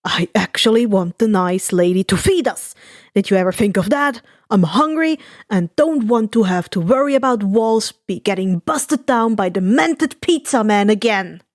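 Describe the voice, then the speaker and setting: A woman's voice: mid-range voice, expressive, moderate pace, no room sound, studio quality, pitched below the performer's own voice, lightly acted. A woman voicing an irritable, grumbling young male villain in an anime fan story. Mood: irritable, complaining.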